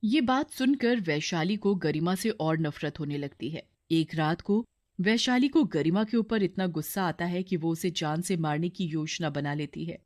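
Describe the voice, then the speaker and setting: A woman's voice: on the low side, moderate pace, a little mellow. A woman storyteller reading a scripted moral tale as its narrator.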